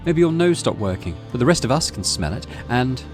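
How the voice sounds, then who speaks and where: A man's voice: medium pitch, big pitch swings, fairly quick, soft background music, pitched above the performer's own voice, lightly acted. A man voicing a defiant, huffy girl in a whiny, indignant way while reading out a story game. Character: defiant, huffy girl child taunting a friend.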